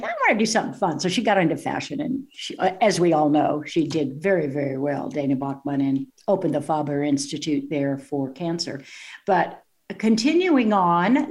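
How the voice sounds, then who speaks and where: A woman's voice: lowish voice, animated, moderate pace. A woman hosting an interview in a chatty style, laughing often and drifting into asides. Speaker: host. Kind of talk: casual interview chat.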